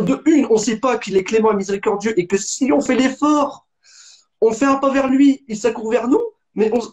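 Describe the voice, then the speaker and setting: High-pitched young man in his twenties, casual and rambling, with many restarts. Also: darkish tone. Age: 20s. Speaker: interviewer. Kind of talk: conversational religious discussion.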